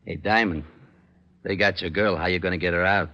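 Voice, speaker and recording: A man playing a slow-witted, blustering comic police sergeant in old radio style, plodding and good-natured. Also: deep voice, fairly even intonation, lightly acted. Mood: dull, needling. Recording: muffled, noisy vintage radio recording.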